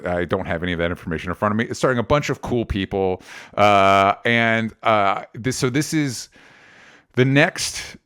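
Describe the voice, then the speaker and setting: A man's voice: low-pitched, a bit bright, clean tone. Rambling, informal male podcast host. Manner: rambling, halting, self-interrupting. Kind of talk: casual pop culture podcast chat.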